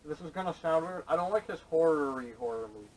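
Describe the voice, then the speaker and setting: A man's voice: on the high side, animated, quick pace, darkish tone, far from the mic. A man co-hosting a casual podcast, giving his opinions on films, picked up on poor camera audio. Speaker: podcast co-host. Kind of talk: casual opinionated film chat. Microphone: camera microphone at a distance.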